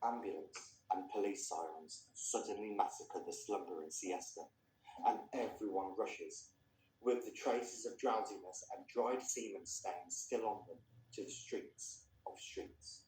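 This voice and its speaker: A man's voice: lowish voice, a little mellow, a little hiss or hum. A man presenting and reading literary fiction aloud as a storyteller. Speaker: reader introducing and reading a story. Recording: echoey room, distant microphone.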